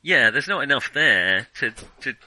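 A man's voice: medium pitch, very animated, normal speed, sharp tone, low-quality recording. A man co-hosting a casual film discussion, giving opinions hesitantly as he thinks them through. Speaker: film podcast co-host.

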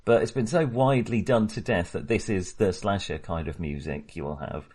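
A man co-hosting a podcast, talking through a film casually in a halting, hesitant way. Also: low voice, a little mellow, slightly rough. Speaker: podcast co-host.

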